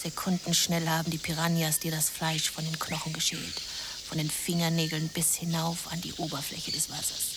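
An actress plays a woman telling a lurid jungle expedition tale in a radio drama. Her voice sits low and turns theatrical, often sinking into whispers. Noisy ambience runs behind her. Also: completely flat delivery, normal speed, close to an everyday voice. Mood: menacing. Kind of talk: literary radio play narration.

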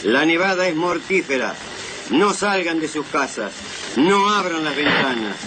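An actor playing an urgent resistance commander in a radio drama, speaking in a clipped military way over a shortwave broadcast. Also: male voice, a little high, animated, a little slow, heavy background noise.

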